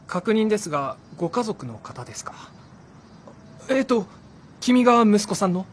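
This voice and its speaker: An actor playing a polite, businesslike, reassuring police officer in a Japanese radio drama. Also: male voice, sits high, very animated, normal speed, breathy edge, full character voice. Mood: formal.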